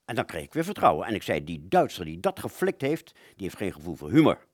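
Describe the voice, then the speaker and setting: A man's voice: sits low, huge pitch swings, brisk, no echo, studio quality, full character voice. A man playing a rambling, agitated wartime fighter pilot who relives battle in a stammering, dramatic radio play monologue. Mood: dreamy, recollecting.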